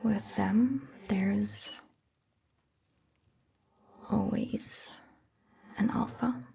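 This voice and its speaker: A woman voicing a calm, attentive vampire doctor in a gentle, breathy, mostly whispered ASMR roleplay, speaking in a measured way. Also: medium pitch, full character voice. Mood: calm, musing. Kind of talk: soft-spoken ASMR roleplay.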